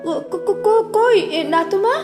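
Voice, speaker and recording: A woman's voice: very high-pitched, measured pace, pitched above the performer's own voice. A woman playing a young, nervous daughter-in-law in an audio drama, dutiful and stammering, eager to please but secretly reluctant. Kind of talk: Bengali audio drama acting. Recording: long reverb, music bed underneath.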